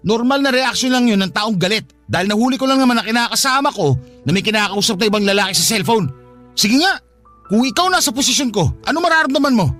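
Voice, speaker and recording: Radio drama role: angry accusing partner, rapid sharp delivery, full of contempt. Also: male voice, high-pitched, lively intonation, faint music behind. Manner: accusing, contemptuous, hot-tempered. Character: angry, jealous, scornful live-in male partner. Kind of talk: Tagalog radio drama acting.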